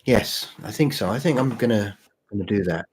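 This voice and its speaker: A man with a slightly sore throat and husky voice chats casually to livestream viewers as host, halting and repeating his phrases. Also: lowish voice, lively intonation, darkish tone, slight background noise. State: mild sore throat, voice deeper than usual. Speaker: channel host and story writer.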